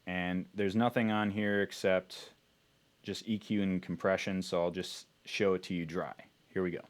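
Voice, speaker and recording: A man's voice: low-pitched, flat delivery, moderate pace. A man talking casually as he explains his music production work in an informal, instructional way. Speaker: music producer presenting a tutorial.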